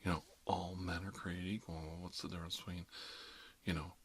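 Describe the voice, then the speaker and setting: A man's voice: low voice, flat delivery, a little fast, slight background noise, natural voice. A man playing a chatty, friendly dentist in an improvised ASMR roleplay, talking in a hesitant, rambling way that drops into half-whispers. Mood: chatty, musing. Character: chatty, friendly emergency dentist treating monsters, mildly bigoted. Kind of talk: ASMR roleplay, improvised one-sided conversation.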